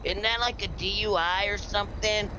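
A man's voice: high voice, fairly quick, lightly acted. A man playing a stoned, easily distracted hiker in improvised game role-play, talking in slangy bro-and-man speech. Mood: dazed, easygoing. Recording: noisy game voice chat, vehicle ambience.

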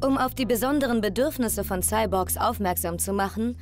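A woman's voice: mid-range voice, brisk. Woman narrating a scripted report over music. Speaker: documentary narrator.

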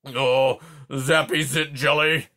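A man reading a story aloud and voicing an excitable, fussy male porcupine who talks loudly and frantically. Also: mid-range voice, slow pace. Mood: frantic. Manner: loud and frantic.

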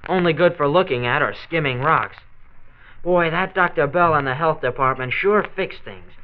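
An actor with an American accent playing an earnest, grumbling teenage high school boy in a late 1940s radio drama. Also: moderate pace, clear, resonant tone, lightly acted. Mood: glum. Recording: dull old transcription disc.

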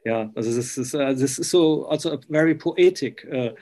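A man, interviewing casually in halting, English. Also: mid-range voice, warm tone. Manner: halting non-native English.

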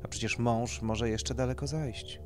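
A man's voice: mid-range voice, fairly even intonation, a little slow, over music, lightly acted. A man voicing a snobbish, gossipy society wife in an audio story, indignant and vulgar, with emphatic delivery. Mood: confiding.

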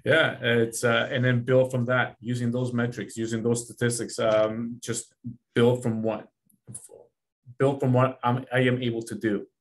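A man's voice: mid-range voice, flat delivery, measured pace. A man in his forties hosting a casual podcast chat, earnest and repeating himself for emphasis. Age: 40s. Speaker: podcast host. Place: small office room, video call.

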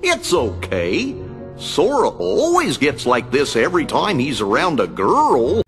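A man's voice: medium pitch, wildly swooping pitch, unhurried, a bit bright. A man voicing a kindly, gangly cartoon dog sidekick in a game cutscene, with a folksy, goofy drawl. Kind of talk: animated game cutscene acting. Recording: long echoing reverb with music.

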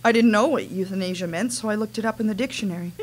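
A woman playing a resentful adult daughter with a halting, earnest delivery in a staged dramatic reading. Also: mid-range voice, close to an everyday voice, rough recording. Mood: accusing. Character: wounded, resentful adult daughter confronting her mother. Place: small dimly lit stage venue. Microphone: microphone on a stand.

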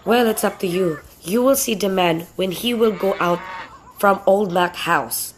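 A woman's voice: medium pitch, unhurried, natural voice. A woman voicing a jealous, gloating snake in an amateur puppet show, with hissing shushes and a taunting drawl. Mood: insistent. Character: jealous, gloating snake spreading bad news.

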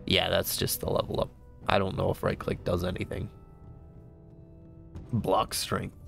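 A man with a congested, stuffed-up voice and a fairly low, gravelly tone chats easygoingly as he plays a game. He thinks aloud with relaxed, upbeat exclamations, and faint game music runs behind him. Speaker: gamer playing a new game. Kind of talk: casual let's-play commentary.

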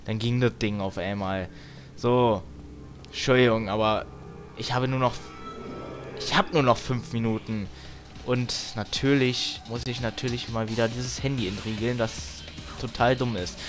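A man's voice: mid-range voice, fairly even intonation, unhurried. A man giving casual, grumbling let's play commentary as he games, with some tongue clicking. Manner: grumbling, mild swearing. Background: video game music.

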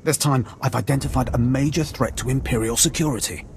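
An actor playing a paranoid, disgraced officer in a video game, urgent and conspiratorial. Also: male voice, mid-range voice, brisk, creaky, darkish tone, a little hiss or hum, natural voice. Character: paranoid disgraced male Imperial officer, insistent and agitated. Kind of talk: video game cutscene acting.

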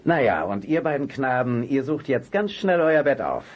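A grumbly but kind-hearted uncle in a children's radio drama. The voice is husky and swings widely in melody. He blusters theatrically, with good nature under the gruffness. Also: mid-range voice, big pitch swings, normal speed, lo-fi sound. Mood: gruffly kind. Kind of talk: German children's detective radio play acting.

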